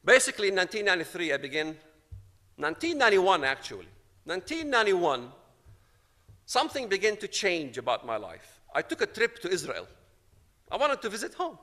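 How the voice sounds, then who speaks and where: A man's voice: high-pitched, huge pitch swings, brisk, pushed and bright, big-room sound. A man from Palestine giving a personal testimony, telling his story dramatically and acting out the dialogue. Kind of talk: storytelling talk to an audience.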